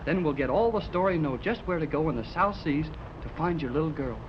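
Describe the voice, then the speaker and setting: An actor playing an eager, excitable teenage boy who gushes in slangy exclamations, in 1930s radio serial style. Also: a little high, quick pace, natural voice. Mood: excited. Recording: dull, noisy 1930s radio transcription.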